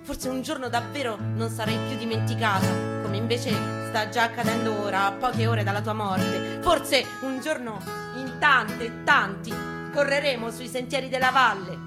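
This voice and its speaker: A woman's voice: on the high side, normal speed. A woman with a Roman accent performing an intense, colloquial spoken-word reading. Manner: intense, colloquial, profane delivery. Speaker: performer reading her piece aloud. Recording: live guitar under the voice. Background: acoustic guitar music.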